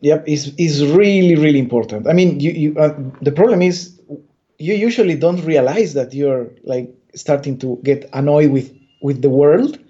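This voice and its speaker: A man's voice: a little high, normal speed, warm tone. A man from Bolivia, a comic artist guest, talking in a rambling way with many restarts. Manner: rambling, stammers and restarts often. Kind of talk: casual interview chat. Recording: dull lo-fi audio.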